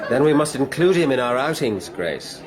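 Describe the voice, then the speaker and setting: An actor playing a courteous young lord with an upper-class English accent in a period radio drama. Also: male voice, mid-range voice, big pitch swings, normal speed, lightly acted. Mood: confiding. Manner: polite, refined. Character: courteous young lord, principled, privately disdainful of society. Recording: loud party crowd behind, radio play.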